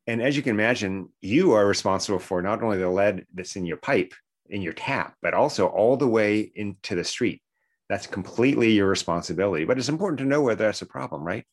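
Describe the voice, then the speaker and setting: A man's voice: on the low side, expressive, a little fast. A man talking conversationally as an interview guest, explaining things step by step like a researcher.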